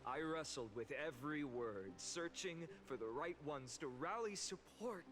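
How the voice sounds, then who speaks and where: An actor playing an earnest young male hero in a fantasy video game, speaking in a measured, resolute, formal way. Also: fairly high pitch, animated, a bit bright, faint music behind. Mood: solemn, reflective. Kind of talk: scripted video game dialogue acting.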